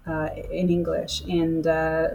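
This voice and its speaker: A woman from Iran, a native Persian speaker, who talks as a podcast guest and English teacher in a thoughtful, halting way with frequent uhs. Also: sits low, completely flat delivery, gravelly, darkish tone. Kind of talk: casual podcast conversation.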